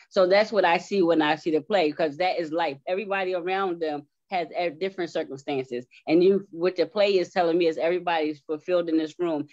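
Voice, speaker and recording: Female interviewee, lowish pitch, earnest and emphatic, slightly muffled recording. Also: darkish tone. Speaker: stage play cast member being interviewed. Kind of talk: unscripted reflective answer. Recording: dull, lo-fi sound.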